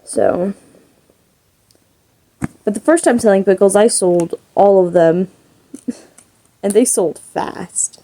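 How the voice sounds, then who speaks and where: A woman's voice: mid-range voice, slow pace, long pauses, warm tone, slight background noise, low-quality recording. A young woman chatting casually as a crochet vlogger, rambling with lots of fillers. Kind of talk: casual chat.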